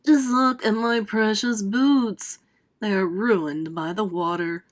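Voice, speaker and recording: Lady reading a vain centipede guy, slow singsongy storybook voice. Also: mid-range voice, fairly even intonation, lightly acted. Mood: fretful. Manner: slow sing-song delivery. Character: showy, vain male centipede singer.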